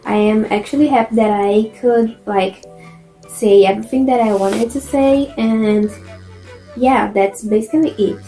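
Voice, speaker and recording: A young woman from Brazil with a Brazilian Portuguese accent, a native Portuguese speaker, giving language-learning tips in English in a relaxed, chatty, encouraging way with many fillers. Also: on the high side, measured pace, poor audio. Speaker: vlogger. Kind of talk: casual chat. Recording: loud music bed under the voice.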